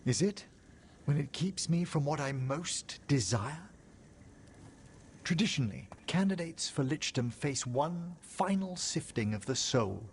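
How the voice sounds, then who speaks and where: A man's voice: fairly high pitch, lively intonation, unhurried, slight background noise. A man playing a courteous, reflective older necromancer scholar in a video game, with formal, theatrical, erudite phrasing. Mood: conflicted. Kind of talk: video game cutscene acting. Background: music.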